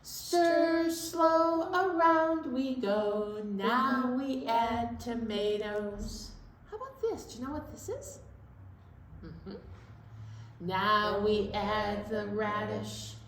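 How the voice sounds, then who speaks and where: A woman hosting a children's library storytime in a sing-song style, with simple singing and gentle chat. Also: on the high side, very slow, a little hiss or hum. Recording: roomy echo, distant microphone.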